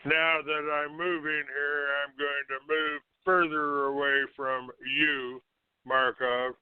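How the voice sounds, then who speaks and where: A man hosting a call-in show, ranting off the cuff in a halting, accusatory and combative manner. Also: fairly high pitch, flat delivery, smooth, no room sound. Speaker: internet call-in show host. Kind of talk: unscripted talk show rant. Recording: narrow, tinny phone-like line.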